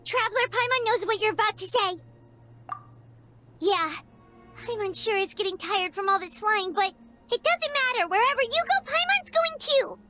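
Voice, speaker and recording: A woman voicing a tiny, plucky, childlike flying companion in a video game, with a squeaky voice and an earnest manner. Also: expressive, moderate pace. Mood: determined. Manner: refers to self in third person, earnest. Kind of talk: video game character acting. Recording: music behind the voice.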